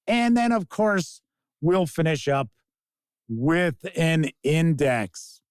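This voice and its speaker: A man hosting a tabletop game review, talking casually to camera with an emphatic sing-song delivery and drawn-out words. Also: fairly high pitch, a little mellow, no room sound.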